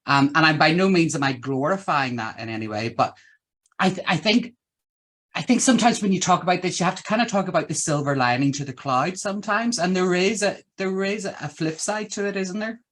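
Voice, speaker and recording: A man's voice: high-pitched, fairly quick, a bit bright. A middle-aged man with a Northern Irish accent hosting a casual interview, effusive and reflective in the way he talks. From Northern Ireland. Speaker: interviewer, host.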